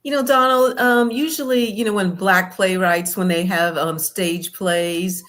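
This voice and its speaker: A woman's voice: mid-range voice, steady tone, measured pace, fairly bright tone. A woman co-hosting an interview, putting questions to a guest in a conversational style. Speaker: interviewer, co-host.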